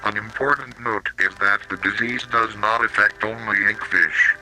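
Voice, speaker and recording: A man's voice: on the low side, steady tone, pushed and bright, poor audio. A synthetic man's voice, robotic and mechanical, narrating a scripted analog horror public-safety warning tape with odd pronunciations. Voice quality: robotic synthetic text-to-speech voice. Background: slow music.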